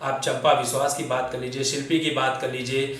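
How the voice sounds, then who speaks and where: A man's voice: medium pitch, level tone, fairly quick, fairly bright tone. A man giving opinionated commentary, addressing listeners directly with rhetorical questions in an indignant, sarcastic manner. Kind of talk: opinionated film and political commentary to camera. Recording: very echoey room, distant microphone.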